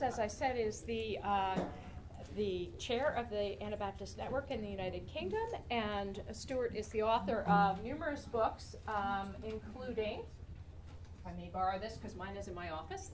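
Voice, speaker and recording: A woman's voice: medium pitch, noisy background. Woman introducing a speaker from afar. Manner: warm and jokey, with hesitations. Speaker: event host introducing the speaker. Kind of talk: casual introduction. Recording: distant mic with a crowd of listeners.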